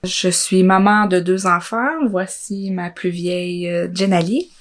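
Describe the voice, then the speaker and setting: A woman's voice: fairly low pitch, steady tone, a little slow, slight background noise. A young woman from Quebec's Côte-Nord with a Quebec French accent, giving personal testimony in an informal, hesitant way. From Côte-Nord, Quebec, Canada. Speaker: interviewee, social work student.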